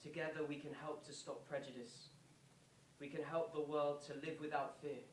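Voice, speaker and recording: An actor playing an earnest male pop star making a slow, pleading, repetitive theatrical speech to stadium football fans. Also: a little high, flat delivery, lightly acted. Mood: solemn, hopeful. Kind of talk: theatrical public speech, reenacted from quoted address. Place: indoor performance venue, recorded from a distance. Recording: roomy, distant, noisy live theatre recording.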